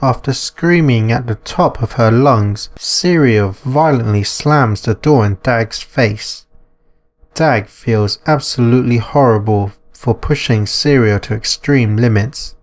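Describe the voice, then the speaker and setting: A man's voice: mid-range voice, slow. A man reading scripted narration for a visual novel as its narrator. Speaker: narrator and author. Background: music.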